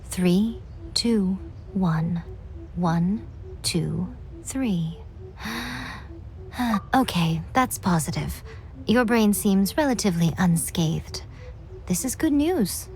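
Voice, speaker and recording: An actress playing a sly, self-assured shrine priestess in a video game cutscene, coy, teasing and aloof. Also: female voice, fairly low pitch, expressive, extremely slow, pauses often, darkish tone, exaggerated voice. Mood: dry, brisk. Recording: music clearly behind the voice.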